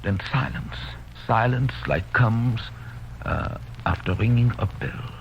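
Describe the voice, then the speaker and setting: An actor playing an authoritative doctor hunting a vampire, intense and declamatory in 1930s radio style. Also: male voice, on the low side, lively intonation, takes it slowly. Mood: grave. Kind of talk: 1930s radio drama acting. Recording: dull, noisy vintage radio recording.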